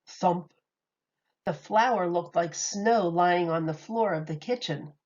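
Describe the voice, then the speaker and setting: Slow, expressive woman reading a storybook. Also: lowish voice. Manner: expressive, lively read-aloud. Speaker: teacher. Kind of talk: reading a children's picture book aloud. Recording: slightly muffled recording.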